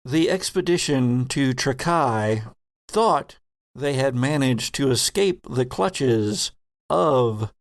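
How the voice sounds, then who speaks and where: A man narrates as the host and game master of a tabletop game. He speaks slowly and deliberately, drawing out suspense with pauses. His pitch sits in the middle, and the sound is dry and close. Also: lively intonation, no room sound.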